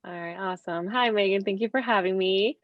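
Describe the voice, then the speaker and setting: A woman's voice: medium pitch, a little fast, no echo. Chatty woman, dull lo-fi call audio. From Mexico, later California. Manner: chatty, informal storytelling with quoted asides. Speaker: interview guest, real estate investor. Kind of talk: casual video interview chat.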